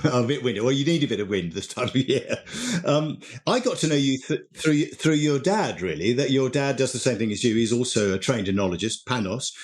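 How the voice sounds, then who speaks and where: A man hosts a podcast interview. He talks in an easy, chatty way and keeps the conversation going with quick questions and short affirmations. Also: medium pitch, expressive. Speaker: podcast host. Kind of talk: casual interview.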